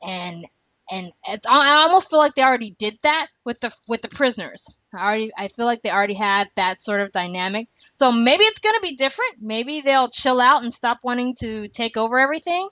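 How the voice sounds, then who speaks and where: Higher-voiced woman podcast host, rambly and giggly, dull dry lo-fi sound. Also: lively intonation, fairly quick, bright, forceful tone, no echo. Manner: chatty, rambling, laughs often. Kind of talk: casual chat.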